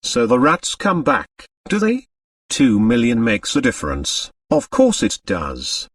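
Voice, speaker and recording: A man's voice: mid-range voice, huge pitch swings, a little slow, fairly bright tone, no echo, distant mic, heavily acted. A man playing a bitter, reckless man in an audiobook, with a brusque, mocking manner. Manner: sardonic, brusque. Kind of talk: audiobook character acting.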